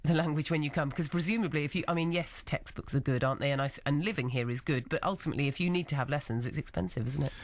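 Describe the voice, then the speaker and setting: A woman's voice: low voice, very fast, hardly any pauses, no echo. A woman presenting a radio show, interviewing a studio guest with probing, conversational questions. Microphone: studio microphone.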